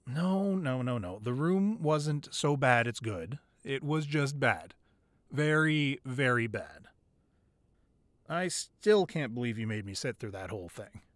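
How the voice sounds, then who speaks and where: A man's voice: mid-range voice, lively intonation, slow, dry recording, studio quality. A man playing an affectionate boyfriend who secretly runs a crime ring, in an intimate, conversational ASMR roleplay. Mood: playful, amused. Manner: conversational, playful with partner, curt and commanding in business.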